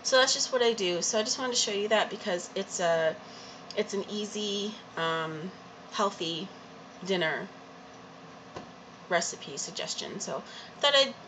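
A young woman walks through her dinner at home in an easy, rambling way, full of ums and small restarts. She holds the phone close in a small kitchen. A steady hiss of background noise runs under the home video. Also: mid-range voice, unhurried, long pauses. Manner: chatty and unpolished, many ums and restarts. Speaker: home cook vlogger. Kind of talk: casual cooking demonstration. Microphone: handheld camera or phone held close.

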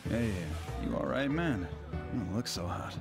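A carefree, cynical young American in a video game. He speaks bluntly and mockingly in a medium-pitched voice over clear background music. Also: very animated, normal speed. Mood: casual, needling. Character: cynical, carefree womanizing buddy of the hero. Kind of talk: video game cutscene acting.

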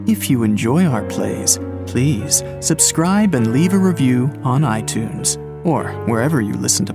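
A man hosting an audio drama podcast, reading scripted credits. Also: mid-range voice. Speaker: podcast host. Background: music.

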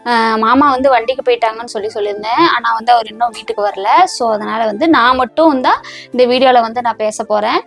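A young woman chats to the camera from a small room at home, explaining things loosely and with plenty of fillers. Her voice sits a little high and she talks fast, with music playing faintly underneath. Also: a bit bright. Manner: chatty, explains with many fillers. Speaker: vlogger. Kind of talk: casual vlog talk to camera.

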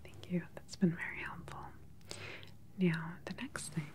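A woman in her thirties speaks in a soft, breathy whisper close to the mic, gentle, clinical and unhurried, as a doctor in an ASMR exam roleplay. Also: fairly low pitch. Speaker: ASMR performer playing a doctor. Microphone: close ASMR microphone.

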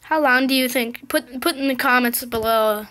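Excitable young girl, slightly scratchy voice. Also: on the high side, moderate pace. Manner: excited, chatty, impatient exclamations. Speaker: gameplay video host. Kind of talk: casual gameplay commentary.

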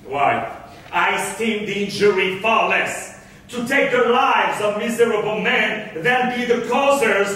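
A man's voice: very high voice, sharp tone, full character voice. A man playing a wronged, defiant rich merchant in a staged reading of old verse, declaiming with passion. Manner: declamatory, impassioned. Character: wronged, bitter, defiant wealthy merchant of Malta. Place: theatre stage in a hall. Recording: echoey stage, distant microphone.

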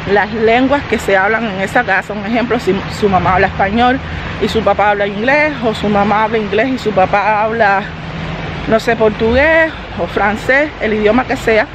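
A woman vlogging as she walks outdoors, holding the camera herself and chatting straight to her viewers. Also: a little high. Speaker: family vlogger. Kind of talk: casual walking vlog. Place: outdoors by a road, walking. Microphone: handheld camera. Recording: noisy outdoor street audio. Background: traffic and street noise.

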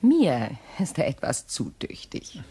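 An actress in a radio play playing an imperious middle-aged widow, genteel, measured and coolly sardonic. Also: female voice, sits low, wildly swooping pitch, dark tone, breathy edge, exaggerated voice. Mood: composed, calculating.

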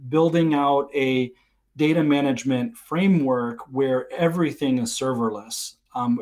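The middle-aged male specialist you would hear dialled into an online tech panel from his attic study, working through a detailed answer out loud in a mid-range voice. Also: medium pitch. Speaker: guest expert. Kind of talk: explanatory technical talk on a livestream. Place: small attic room at home. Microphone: video call.

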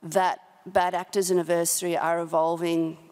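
A middle-aged woman speaking as a panelist at a policy forum, measured and halting, with formal vocabulary, into a desk microphone. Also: lowish voice, little pitch movement, darkish tone. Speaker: panel speaker, company human rights director. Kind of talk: prepared remarks at a policy forum panel, spoken off the cuff. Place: large indoor conference hall. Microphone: desk gooseneck microphone, close.

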